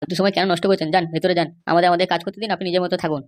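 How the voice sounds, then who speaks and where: Woman playing a rapid-fire, stubborn cartoon cop. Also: lowish voice, flat delivery, lightly acted. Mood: dismissive. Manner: insistent, dismissive, lecturing. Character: stubborn, bribe-seeking police officer guarding a prisoner. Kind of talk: Bengali comedy cartoon dialogue.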